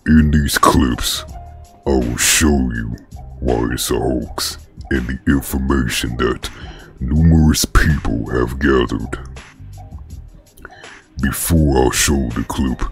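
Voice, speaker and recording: A man hosting a commentary vlog, speaking in a slow, deliberate, even way. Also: very deep voice, soft background music. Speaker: commentary channel host.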